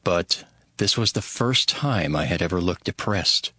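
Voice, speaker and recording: A man's voice: low-pitched, animated, measured pace, sharp tone, no room sound, clean studio sound, exaggerated voice. A man playing a devout, sorrowful Hebrew cupbearer who earnestly narrates his own story in a dramatized audio Bible. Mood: anxious, respectful. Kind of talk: dramatized first-person scripture narration. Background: background music.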